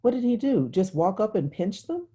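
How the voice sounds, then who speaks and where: A woman's voice: medium pitch, very animated, warm tone, slightly rough, lo-fi sound, voice pushed up. A woman reading the part of a naive, impatient little girl who fires off eager questions in a novel. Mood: eager, nosy. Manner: eager rapid-fire questioning. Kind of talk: literary audiobook dialogue.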